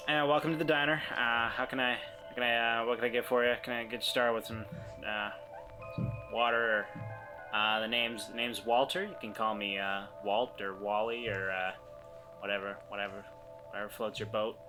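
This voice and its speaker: A man's voice: medium pitch, little pitch movement, moderate pace, over music, natural voice, rough recording. A man playing a hesitant, obliging diner worker in a tabletop game, fumbling for his words. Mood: awkward, welcoming.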